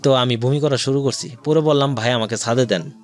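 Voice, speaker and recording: A man's voice: medium pitch, a little fast, soft background music. A man with a Bangladeshi accent tells his own life story as an interview guest, in detail and with quoted dialogue.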